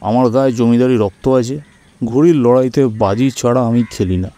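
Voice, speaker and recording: Man doing a brash, cocky middle-aged gambler, mellow voice. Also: mid-range voice, normal speed, close to an everyday voice. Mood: challenging. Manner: challenging, cocky. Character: Khadu Mallik, brash middle-aged male scrap dealer, taunting gambler. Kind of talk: radio audio-story acting.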